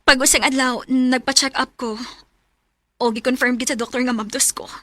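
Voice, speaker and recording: A woman's voice: high voice, steady tone, moderate pace, sharp tone, no echo. An actress playing a jealous, anxious young girlfriend in a radio drama, with a Cebuano Filipino accent. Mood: anxious, hopeful.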